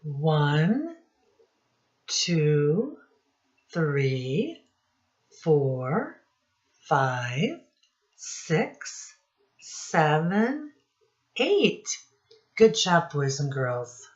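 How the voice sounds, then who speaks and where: Very slow, sing-song woman teaching little children. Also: sits low, sing-song, dull audio. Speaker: teacher and story reader for young children. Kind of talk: children's counting lesson and nursery rhymes.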